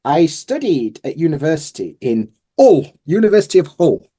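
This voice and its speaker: A man's voice: fairly high pitch, big pitch swings, normal speed, mellow, breathy edge. A man with a Yorkshire accent, chatting informally and self-deprecatingly as a guest in a casual interview. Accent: Yorkshire English. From Yorkshire, England. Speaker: guest, English teacher.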